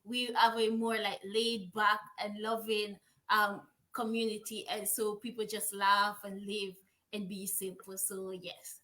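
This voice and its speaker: A woman's voice: medium pitch, level tone, unhurried, sharp tone. A young woman with a Jamaican accent, a guest chatting casually in an interview and filling her talk with "like". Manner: chatty, many "like" fillers, laughs while telling stories. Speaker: guest, author.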